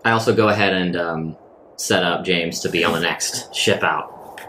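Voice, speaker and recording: A man's voice: low voice, moderate pace, fairly bright tone. A man playing in a tabletop role-playing game, talking casually as he improvises what his character does. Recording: some background noise.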